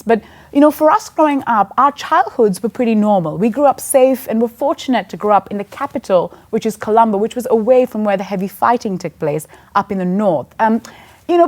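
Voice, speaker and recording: A woman in her thirties from Sri Lanka speaks to a church gathering through a stage microphone. Her voice sits a little high, and her storytelling is earnest and conversational. She keeps the tone of a sermon as she recounts the story. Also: a little mellow.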